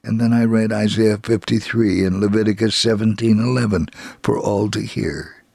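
A man reading a scripted story for radio as narrator, solemn and measured, with a gravelly, husky voice. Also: on the low side, fairly even intonation, a little mellow, no echo.

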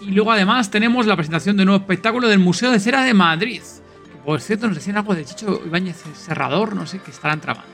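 A man's voice: sits high, quick pace, fairly bright tone, faint music behind. A man with an accent from Spain hosting a podcast in an informal, enthusiastic, chatty way. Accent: Spanish from Spain. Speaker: podcast host. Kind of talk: casual podcast announcements and chat.

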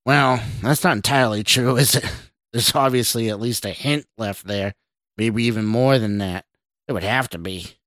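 A man's voice: medium pitch, normal speed, fairly bright tone, no room sound, clean studio sound, natural voice. A man voicing a taunting, menacing male stranger in an audiobook, snarling and mocking with scorn. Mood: irritated, snarling. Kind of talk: audiobook character voice.

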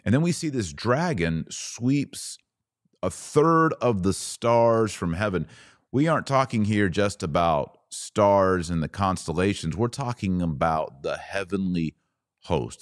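A man with a low, deep voice speaks as a religious podcast host. His delivery is earnest and emphatic, setting out phrases deliberately as he explains and reads aloud. The sound is dry, with very little room to it. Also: animated, no echo.

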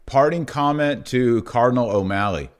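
A man's voice: medium pitch, normal speed. A man hosting a religious podcast in a casual, informal and colloquial chatting style. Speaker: podcast host.

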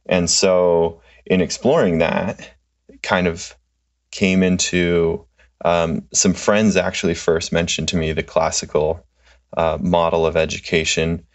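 A man in his thirties, a social worker, answering interview questions in a relaxed, halting way with many fillers. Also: low voice, highs cut off. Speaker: guest, clinical social worker.

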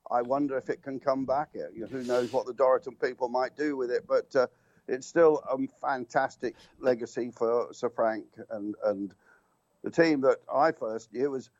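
An older man reminisces on air, rambling through anecdotes and stumbling over restarts. His mid-pitched voice comes over a slightly muffled, dull line. The sound is dry, with no room around it. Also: moderate pace, darkish tone, no echo. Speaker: guest motorsport commentator. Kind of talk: reminiscing radio talk.

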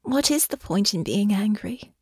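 A woman's voice: medium pitch, animated, normal speed, breathy edge, no echo, studio quality. A woman voicing an earnest, well-spoken young woman with formal, polite phrasing and open feeling. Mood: earnest, rueful.

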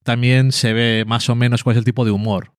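A man's voice: mid-range voice, flat delivery, a little fast, dry recording, clean studio sound. A man with a Spain Spanish accent, co-hosting a podcast from home, chatting casually and thinking aloud. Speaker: podcast co-host. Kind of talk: casual chat.

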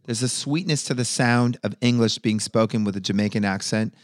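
A man's voice: fairly low pitch, brisk. A man hosting a podcast over a video call, rambling and swearing a lot, and drunk by his own account. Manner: profane, rambling, tipsy. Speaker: podcast host. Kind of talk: casual chat.

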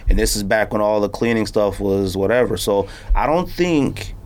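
A man's voice: on the low side, lively intonation, normal speed, darkish tone. A man hosting a podcast, talking in a casual, slangy, conversational way. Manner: informal slangy talk. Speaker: podcast host. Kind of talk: casual sneaker podcast chat.

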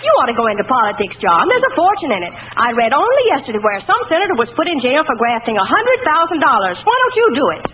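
An actress playing a nagging, scatterbrained, argumentative wife in an old-time radio comedy. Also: female voice, high-pitched, sing-song, quick pace, hardly any pauses. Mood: needling, breezy. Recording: tinny, noisy old radio broadcast.

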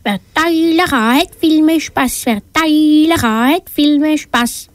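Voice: high voice, takes it slowly, no room sound. A young female performer playing an earnest little mouse boy in a children's audio play. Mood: cheerful.

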